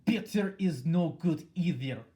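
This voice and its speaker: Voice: medium pitch, sing-song, mellow, voice pushed up. A reader voicing a furious, tyrannical witch leader who screams and rants at her followers in an audiobook. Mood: commanding. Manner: shrieking, ranting, drawn-out words. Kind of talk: audiobook reading.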